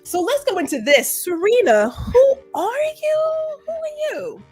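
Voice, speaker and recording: A woman's voice: very high-pitched, very animated, unhurried, a little mellow, soft background music. A woman hosting a live stream, chatting casually, laughing often and reading viewer comments aloud. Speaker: livestream show host.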